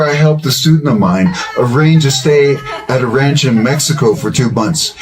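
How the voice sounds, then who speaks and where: An older man hosting a language-learning channel, giving scripted advice in a measured, earnest and encouraging way. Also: fairly high pitch, rough recording.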